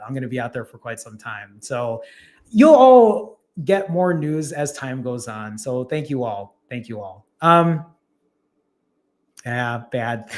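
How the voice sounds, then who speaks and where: A man hosting a live stream as a fasting coach, chatting casually, reading viewer comments aloud and encouraging his audience directly. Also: medium pitch. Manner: talks straight to viewers, upbeat and encouraging.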